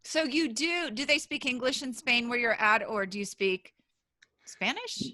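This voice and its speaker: A woman's voice: fairly high pitch, measured pace, bright, forceful tone, dry recording, clean studio sound. A woman hosting a podcast interview, chatty and bubbly, laughing often and breaking off mid-sentence. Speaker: podcast host.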